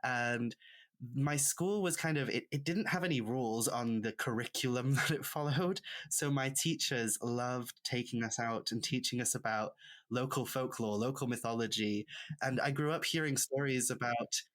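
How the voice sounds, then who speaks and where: A woman with a Welsh accent whose first language is Welsh, speaking as a podcast guest. She tells stories in a reflective, unhurried way, with light laughs now and then. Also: extremely low pitch. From Wales. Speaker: guest author. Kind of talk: casual podcast conversation.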